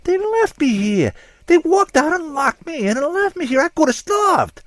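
A man's voice: high-pitched, wildly swooping pitch, fairly quick, no echo, studio quality, voice pushed up, full character voice. A man voicing a nervous, flustered middle-aged male burglar in an audiobook, jumpy and whining as he protests his innocence. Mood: aggrieved. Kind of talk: audiobook character voice.

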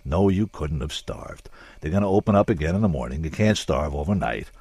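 A man's voice: fairly low pitch, big pitch swings, a little fast, darkish tone, no echo, pitched above the performer's own voice. A man voicing a skeptical, brusque male police captain in an audiobook, blunt and interrogating. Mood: disgusted. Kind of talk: audiobook character voice.

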